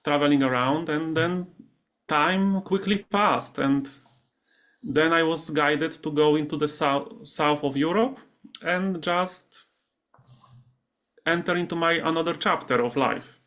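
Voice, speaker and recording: Higher-voiced Polish man, interview guest. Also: measured pace, fairly bright tone. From Poland. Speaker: interview guest, meditation teacher. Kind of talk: conversational interview. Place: remote video call.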